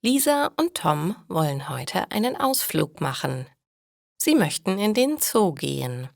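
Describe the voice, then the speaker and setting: A woman reading a scripted story for language learners in deliberate, clearly separated short sentences. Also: lowish voice, very animated, no echo, clean studio sound.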